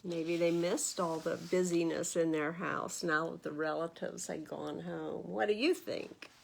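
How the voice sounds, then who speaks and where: A woman's voice: lowish voice, measured pace, vocal fry, a little hiss or hum, rough recording. Woman reading aloud at children's storytime. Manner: expressive, asks gentle questions of young listeners. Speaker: librarian storytime reader. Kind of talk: children's picture book read-aloud with asides to listeners.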